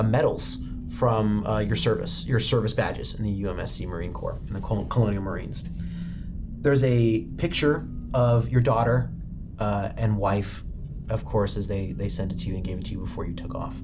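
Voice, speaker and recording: A man's voice: medium pitch, noisy background. A man working as game master, narrating a tabletop game in a conversational style. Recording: music playing behind the voice.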